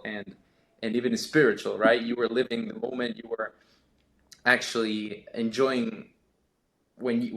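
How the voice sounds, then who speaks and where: A young man with an Argentine Spanish accent, a Spanish native speaker, answering podcast questions in a halting way with many repeats and fillers. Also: mid-range voice, a bit bright, slight background noise, rough recording. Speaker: podcast guest, sports scientist. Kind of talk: casual interview answers.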